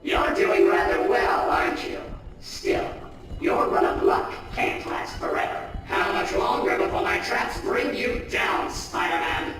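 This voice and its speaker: An actor playing a gloating, theatrical supervillain in a video game, menacing and mocking, with a taunting, drawn-out delivery. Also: male voice, on the high side, sing-song, poor audio. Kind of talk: video game villain acting. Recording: loud music and crowd noise behind.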